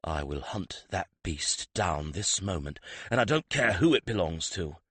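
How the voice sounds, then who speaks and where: A man's voice: deep voice, animated, measured pace, fairly bright tone, breathy edge, no echo, full character voice. A man voicing an arrogant young prince speaking through clenched teeth in anger. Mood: furious.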